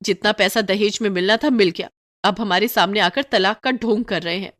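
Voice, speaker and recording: A woman's voice: mid-range voice, fairly quick, fairly bright tone, natural voice. A woman voicing a haughty, spoiled rich young wife who complains indignantly, in a Hindi moral story cartoon. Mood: angry, whining.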